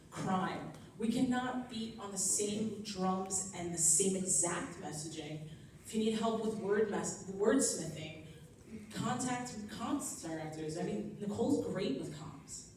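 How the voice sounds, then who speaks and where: A woman's voice: mid-range voice, a little mellow, a little hiss or hum. A young woman from Long Island giving a speech at a political training event, earnest and upbeat, with frequent "um" and "like". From Long Island, New York. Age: young adult. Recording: echoey room, voice picked up from far off.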